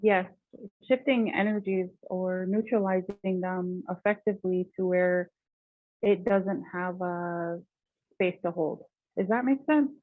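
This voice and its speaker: Soft-spoken woman on a tinny call line. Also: on the low side, measured pace, no room sound. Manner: hesitant, searching for words. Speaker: caller. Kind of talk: casual chat.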